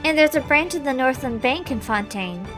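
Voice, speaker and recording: Little fairy companion from a game, squeaky n high, curious kid-like voice, bit worried. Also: female voice, animated, normal speed, over music. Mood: eager. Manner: refers to herself in third person, questioning. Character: small childlike female fairy companion, worried and inquisitive.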